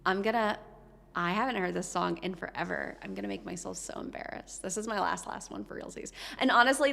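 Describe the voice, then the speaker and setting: A woman's voice: mid-range voice, gravelly. A young woman streaming as a VTuber, chatting in a rambling, self-deprecating way between songs while playing guitar. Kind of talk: casual live-stream chat between songs. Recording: long reverb with loud guitar.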